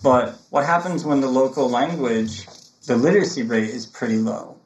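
A man with a mid-range voice speaks as a guest in an interview. He explains ideas in an animated, conversational way, peppering them with "look" and "right?". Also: mid-range voice, moderate pace, slight background noise, poor audio. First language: English. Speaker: guest, technology consultant.

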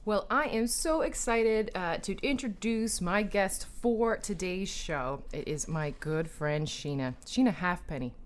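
A woman's voice: mid-range voice, measured pace, few pauses, a little hiss or hum. A woman hosting a talk show, speaking in an enthusiastic, rambling way with many fillers and restarts. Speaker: podcast host. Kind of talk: casual spiritual talk, guided breathing.

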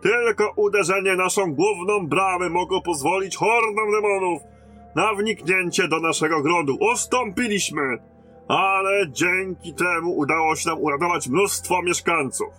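A man plays a seasoned lieutenant from a fantasy radio play. His voice is fairly high and hard-edged, with a blunt, commanding soldier's manner, over quiet music. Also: moderate pace. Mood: commanding, rousing. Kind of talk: fantasy audio drama acting.

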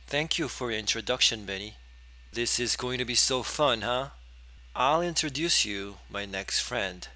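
A man's voice: medium pitch, unhurried, pushed and bright. A man from South Korea, hosting a podcast in a playful, upbeat way. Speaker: podcast host. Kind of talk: introducing a podcast.

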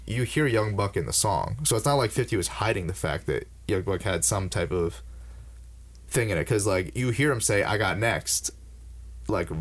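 A man in his early twenties hosting a casual podcast, rambling with fillers and swearing. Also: fairly low pitch. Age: early 20s. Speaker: podcast host.

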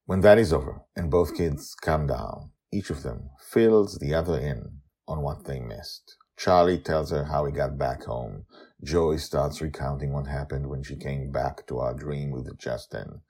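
A man hosting and narrating a fiction podcast with animated, swooping emphasis. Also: rumbling low, unhurried, slightly rough. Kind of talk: storybook narration.

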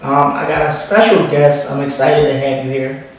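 Laid-back male podcast host, fairly high voice, roomy and off-mic. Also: normal speed, distant mic, slight background noise. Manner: relaxed and encouraging, slangy. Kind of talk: casual interview.

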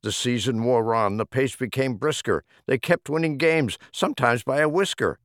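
A man's voice: medium pitch, normal speed, fairly bright tone, no room sound, studio quality. A man reading humorous rhyming poems as an audiobook narrator, with a theatrical, rhythmic delivery. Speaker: audiobook reader.

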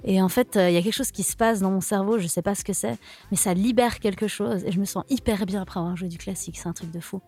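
A woman's voice: medium pitch, quick pace, darkish tone. A young woman, a singer-songwriter, answering interview questions in a casual, hesitant way with many restarts. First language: French.

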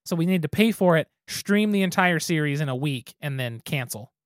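A man's voice: on the high side, expressive, a little fast, dry recording, clean studio sound. A man co-hosting a podcast, chatting casually and thinking aloud as he reviews a beer. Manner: easygoing, thinks aloud with restarts. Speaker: podcast co-host.

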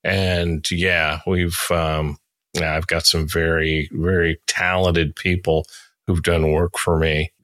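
Low-voiced man hosting a casual interview. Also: level tone, slow pace, a bit bright, no echo, studio quality. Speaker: podcast host, interviewer.

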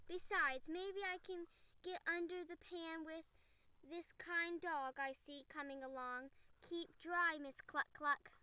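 Uncle Wiggily, a woman doing a soft high old rabbit gent. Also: steady tone, takes it slowly, dry recording, full character voice. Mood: polite, gentle. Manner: gentle, polite, soft-spoken. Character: kindly elderly male rabbit gentleman.

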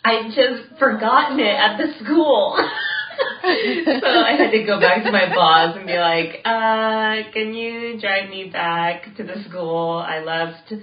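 A woman's voice: mid-range voice, slow pace. A woman co-hosting a podcast, talking casually while stuffy from a cold and laughing often as she tells stories. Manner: laughs often, animated storytelling. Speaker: podcast co-host. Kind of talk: casual chat. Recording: roomy, distant, dull lo-fi sound.

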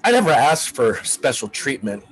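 An actor playing an anxious, embittered college student of about twenty in a play reading, earnest and colloquial. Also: male voice, medium pitch, very animated, normal speed, a bit bright, a little hiss or hum, low-quality recording. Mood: bitter, indignant.

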